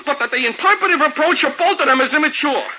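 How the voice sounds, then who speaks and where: High-voiced working-class sap, old radio. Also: male voice, animated, fast, a little hiss or hum. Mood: jealous, defiant. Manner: hesitant, plain-spoken. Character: meek, working-class lovesick shop worker, easily provoked. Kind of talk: 1950s radio drama acting. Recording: muffled vintage radio recording.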